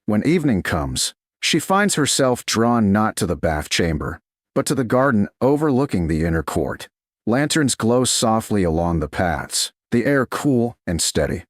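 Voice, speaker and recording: A man reading a romance story in a slow, measured narration style. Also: on the low side, big pitch swings, dry recording, studio quality.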